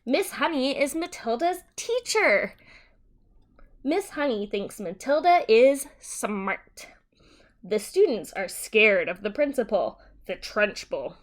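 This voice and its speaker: Slow, high-pitched, animated woman teacher reading aloud. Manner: deliberate, simple explaining for young children. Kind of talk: read-aloud of a simplified children's story with brief explanations.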